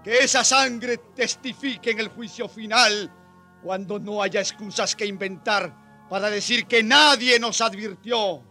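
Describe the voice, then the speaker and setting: An earnest priest of the colonial era in a radio drama. His voice is high and very bright, with a solemn, preaching way of speaking. Music sits behind the voice. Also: a little slow, full character voice. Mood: solemn, impassioned. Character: earnest colonial priest and landowner turned impassioned defender of natives.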